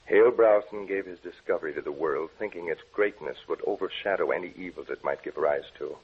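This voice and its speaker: An actor playing a troubled young research scientist in a 1940s radio drama, speaking in a tense, earnest, brooding way. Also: male voice, low-pitched, a little fast, breathy edge. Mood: grim. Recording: tinny old radio broadcast.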